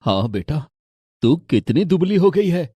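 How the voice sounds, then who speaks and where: Story role: concerned male relative, man acting, emphatic delivery, mellow dark tone, rough husky voice. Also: fairly high pitch, very animated, unhurried, no echo, heavily acted. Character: concerned male family member, husband or doting father.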